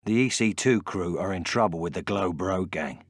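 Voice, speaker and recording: Crime game narrator, very low voice, terse scripted scene announcements. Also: male voice, moderate pace, a little hiss or hum.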